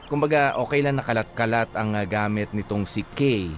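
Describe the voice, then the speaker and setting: A man's voice: fairly low pitch, moderate pace, a little hiss or hum. A Filipino man co-hosting a radio love-story show, talking and reading casually. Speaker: radio DJ co-host.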